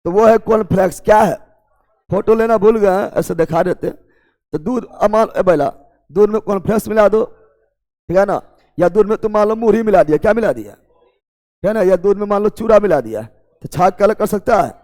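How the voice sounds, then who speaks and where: High-voiced teacher drilling a class. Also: male voice, brisk, big-room sound. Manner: drills students with repeated call-and-response questions; loud, emphatic, urging students to hurry. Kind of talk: classroom exam coaching lecture.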